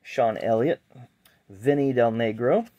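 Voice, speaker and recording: Middle-aged man, rambling hobbyist vlogger, relaxed and chatty, camera mic at a table indoors. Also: mid-range voice, slow, muffled recording. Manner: chatty, rambling, self-deprecating asides. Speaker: sports card collector vlogger. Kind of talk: casual unboxing chat. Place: indoor card room at a table. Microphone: camera mic, hands in frame.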